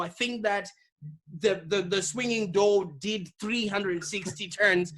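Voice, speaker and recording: A man from Zimbabwe, an interview guest telling his story in a lively, animated way over a video call. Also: high voice, poor audio. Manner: animated, vivid storyteller with repetitions. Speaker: guest, digital marketing business owner.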